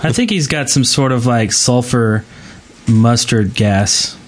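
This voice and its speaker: A man with a medium-pitched voice co-hosts a casual gaming podcast. He chimes in agreeably with short remarks over faint background noise. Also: slow. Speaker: podcast co-host.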